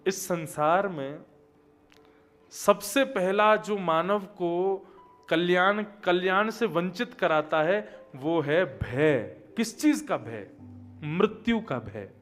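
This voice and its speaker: High-voiced Hindi preacher, emphatic storytelling. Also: male voice, lively intonation, takes it slowly, soft background music. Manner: storytelling, swings from quiet to loud emphatic exclamations. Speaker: Bhagavat katha preacher. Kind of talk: devotional sermon with chanted Sanskrit verses.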